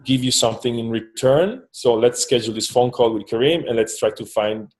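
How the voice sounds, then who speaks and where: A man's voice: medium pitch, fairly quick, a little mellow, poor audio. A man from Switzerland, a startup co-founder, talking through a partnership in a business meeting, hesitant with many fillers and restarts.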